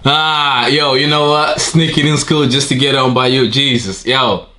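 Excitable young man reacting to music. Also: medium pitch, a little slow. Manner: excited, laughing, slangy exclamations. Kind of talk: casual reaction commentary. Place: bedroom with wardrobe, indoors. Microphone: webcam with headphones on. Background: music video playing.

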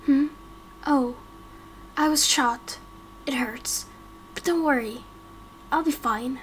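Woman voicing an eerily calm young man, slow. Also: high voice, a little hiss or hum. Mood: calm, reassuring. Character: eerily calm hypnotized young man, wounded, quietly menacing. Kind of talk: amateur game roleplay voice acting.

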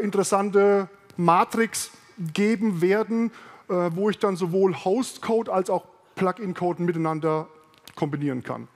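A middle-aged man speaks from a large conference stage, his voice sitting high for a man. He talks loosely, with fillers, restarts and little tag questions. The sound carries the long tail of a big hall. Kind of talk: technical keynote talk.

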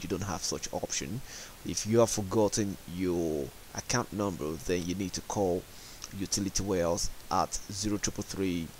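A man's voice: low voice, low-quality recording. A man narrating a step-by-step screen tutorial in a plain, instructional and slightly hesitant way. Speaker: tech support tutorial presenter. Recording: hissy home voice-over recording. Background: clear background hiss.

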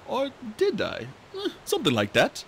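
A man's voice: extremely high pitch, huge pitch swings, moderate pace, fairly bright tone, voice pushed up, exaggerated voice. A sleepy, laid-back young male dragon from a storybook, as a man puts him on. He mumbles mildly and drowsily, unbothered by anything. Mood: drowsy.

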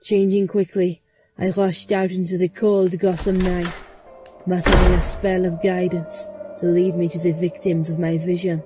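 A woman playing a grave, mysterious seer, narrating in the first person in an audio drama with measured solemnity. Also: fairly low pitch, flat delivery, mellow, soft background music, lightly acted. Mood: grave, intent. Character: grave, mysterious female occult seer narrating her night.

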